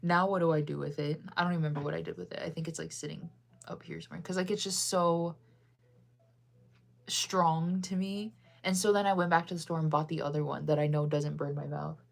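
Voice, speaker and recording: A young woman from the United States rambles through a casual livestream chat, answering viewers as she goes. Her voice sits a little low and she keeps circling back with fillers and restarts. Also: little pitch movement, a little fast, creaky. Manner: rambling, chatty, lots of fillers and self-corrections.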